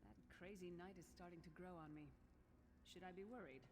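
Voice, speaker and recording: A woman's voice: on the low side, fairly quick, noisy background, natural voice. A woman playing a wry, quipping adventuring companion in a video game. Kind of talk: video game character acting.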